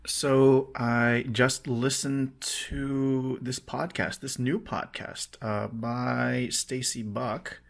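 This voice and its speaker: A middle-aged man with a hoarse, sore voice, rambling casually in a video diary about his work. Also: medium pitch, fairly even intonation, extremely slow, few pauses. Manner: rambling, many restarts and fillers. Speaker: medical coding vlogger.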